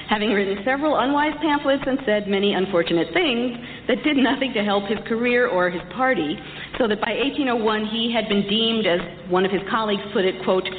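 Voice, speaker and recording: Female lecturer, articulate and wry, mid-pitched voice, muffled recording in a reverberant hall. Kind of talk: prepared history lecture delivered to an audience. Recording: echoey hall, muffled, some background noise.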